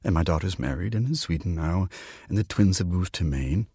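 A man voicing a friendly, wistful old lady in a story reading, with a gentle, chatty manner. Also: sits low, animated, a little fast, breathy edge, dry recording, clean studio sound, voice pushed down, full character voice. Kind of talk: literary story reading with character dialogue.

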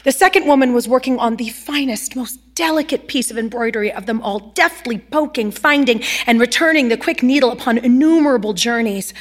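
A female actor narrates a literary short story. Her voice is fairly high, and she reads the prose as a storyteller. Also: fairly quick, few pauses.

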